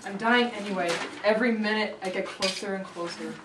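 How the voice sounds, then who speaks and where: A woman's voice: mid-range voice, brisk, natural voice. A woman playing an earnest, lovestruck teenage girl in a comic dramatic reading of a film script. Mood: pleading, earnest. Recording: noisy echoey room, far-off camera mic, crowd.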